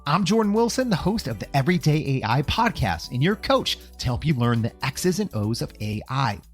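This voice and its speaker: A man delivers a scripted promo in a lively, varied mid-pitched voice. His delivery is punchy and motivational, like a coach selling his show. Music plays behind him. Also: a little fast. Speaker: podcast host and coach.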